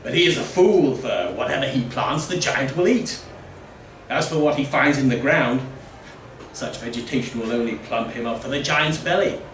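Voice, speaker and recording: A man's voice: mid-range voice, lively intonation, normal speed, a bit bright, close to an everyday voice. Man voicing scornful villager, emphatic, roomy sound. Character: scornful, suspicious villagers gossiping about an outcast. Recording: roomy, distant miking with faint noise.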